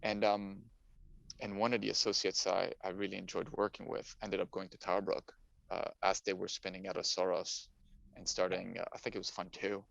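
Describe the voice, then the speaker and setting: A man's voice: low-pitched, flat delivery, a little fast, gravelly, a bit bright, no echo. A middle-aged man from Paris, a native French speaker, giving casual, story-like answers as an interview guest. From Paris, France. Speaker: podcast guest, finance professional. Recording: dull, muffled lo-fi audio.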